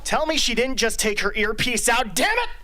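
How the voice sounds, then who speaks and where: A man's voice: very high voice, big pitch swings, normal speed. A man playing an authoritative, easily exasperated mission handler in an amateur radio drama. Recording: noisy amateur recording.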